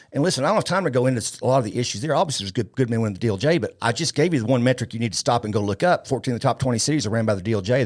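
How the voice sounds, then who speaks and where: A man's voice: mid-range voice, a little mellow. A man speaking as an opinionated interview guest, emphatic and rhetorical, repeating his points. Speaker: podcast guest, police leadership speaker.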